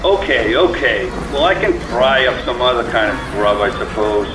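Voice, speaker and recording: Male actor, harried grumpy cook, radio play, animated pitch, distant mic, noisy background. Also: normal speed, reverberant, close to an everyday voice. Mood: irritated. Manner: gruff, impatient.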